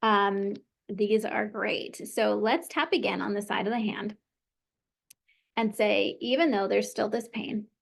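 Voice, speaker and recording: A woman's voice: medium pitch, unhurried, gravelly. Encouraging woman guiding a tapping session. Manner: encouraging, leads phrases for repeating. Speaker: tapping practitioner.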